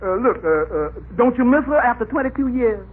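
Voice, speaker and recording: An actor playing a self-important small-time lawyer in old radio comedy, pompous, theatrical and declamatory. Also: male voice, extremely high pitch, animated, brisk. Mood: advising. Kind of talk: 1940s radio comedy acting. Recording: tinny, noisy old radio recording.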